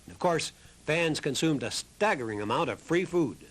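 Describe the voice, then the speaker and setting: A man's voice: on the high side, animated, normal speed. An American man reading scripted documentary narration. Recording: music under the voice.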